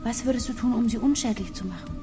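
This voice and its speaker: Brash, higher-voiced cyber agent. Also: female voice, fast, vocal fry, music in the background. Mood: coaxing, insistent. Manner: blunt, commanding. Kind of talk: German radio drama acting.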